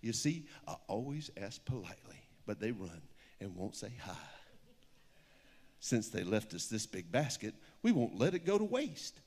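A man's voice: lowish voice, very animated, unhurried, a little mellow, full character voice. A friendly, slightly baffled bear from a children's rhyme, acted out by a man. The delivery is exclamatory and singsong with wide swoops, heard in a reverberant hall. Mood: puzzled, friendly. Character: friendly, polite, puzzled storybook bear. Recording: echoey hall with long reverb.

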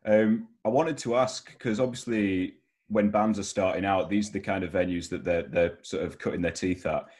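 A man's voice: low voice, steady tone, normal speed, darkish tone. A young man interviewing casually over a headset on a video call. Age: young adult. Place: bedroom.

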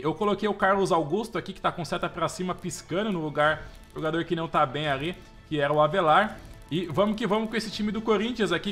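A man with a Brazilian accent giving slangy, casual commentary on a football game he is playing. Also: high voice. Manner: slangy, chatty, keeps saying "mano" and "velho"; gets loud and excited over the crowd. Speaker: gameplay commentator. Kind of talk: casual let's-play commentary.

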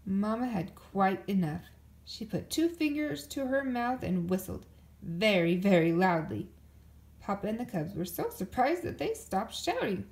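A woman reading a children's picture book aloud as an expressive storyteller. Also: medium pitch, unhurried. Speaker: storybook reader.

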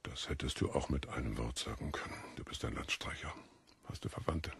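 An actor playing a pained, scornful Roman governor interrogating a prisoner in an audio drama. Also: male voice, extremely low pitch, a little fast, fairly bright tone, whispered, heavily acted. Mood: curt, weary. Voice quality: gravelly, husky. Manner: curt, scornful, commanding.

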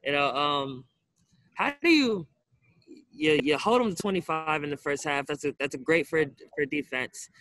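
Young male reporter, slightly high, dry voice. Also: expressive, normal speed, fairly bright tone, no room sound. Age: young adult. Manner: conversational, wordy questions. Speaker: sports reporter. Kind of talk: press conference questions. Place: indoor arena stands.

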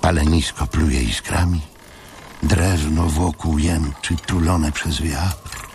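A man reading literary fiction as a dramatic audiobook narrator, in deliberate, short broken phrases. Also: very deep voice, steady tone, darkish tone, a little hiss or hum.

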